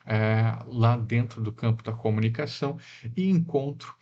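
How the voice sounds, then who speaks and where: A man from Mato Grosso do Sul lectures at a relaxed, reflective pace, pausing on fillers and checking in with tag questions. His voice sits at an ordinary middle pitch. The recording is a little dull and lo-fi. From Mato Grosso do Sul, Brazil.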